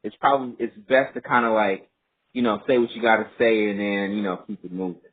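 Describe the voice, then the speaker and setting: A man hosting a group phone call, talking casually and politely in a halting, explanatory way. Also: fairly low pitch, fairly even intonation. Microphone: telephone conference line. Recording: muffled phone line with clear noise, faint.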